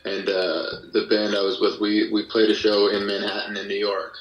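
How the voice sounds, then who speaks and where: A man being interviewed as a podcast guest, chatting casually in a hesitant, halting way. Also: fairly low pitch, monotone, muffled recording. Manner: hesitant, halting delivery with frequent hedging. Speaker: podcast guest, musician and creator of an audio drama. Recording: roomy echo, distant from the mic.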